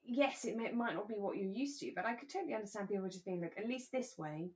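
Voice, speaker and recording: A woman co-hosting a podcast, chatting casually about a radio drama in a giggly, halting, enthusiastic way. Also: medium pitch, expressive. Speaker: podcast co-host.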